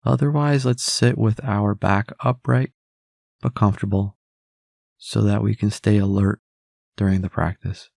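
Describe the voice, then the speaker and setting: A man leading a guided meditation in a calm, measured, instructional way. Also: on the low side, vocal fry, no room sound, studio quality.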